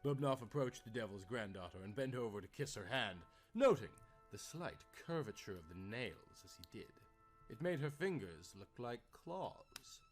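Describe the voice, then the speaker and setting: A man's voice: medium pitch, lively intonation, normal speed, soft background music. A man narrating a scripted story dramatically, as a storyteller.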